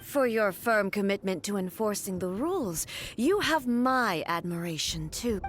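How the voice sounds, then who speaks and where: An actress playing a regal, solemn immortal female warrior ruler in a video game, speaking in a measured, formal and grandly stern way. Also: mid-range voice, animated, a little hiss or hum, lightly acted. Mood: solemn, stately. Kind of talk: dramatic video game voice acting. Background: background music.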